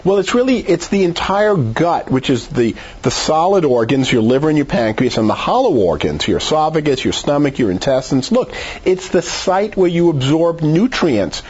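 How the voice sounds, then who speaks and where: A man's voice: a little high, big pitch swings, moderate pace, hardly any pauses, a little mellow, husky, highs cut off. A man speaking as a medical expert in an interview, giving conversational advice in an emphatic, persuasive way. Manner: emphatic, persuasive, rhetorical questions. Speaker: doctor, expert guest.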